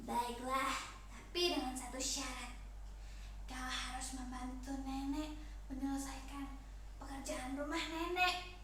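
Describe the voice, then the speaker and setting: Woman as quiet old granny, very echoey. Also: high voice, fairly even intonation, measured pace, long pauses, distant mic, a little hiss or hum. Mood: calm. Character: calm old granny by the river, bargaining. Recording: very echoey room.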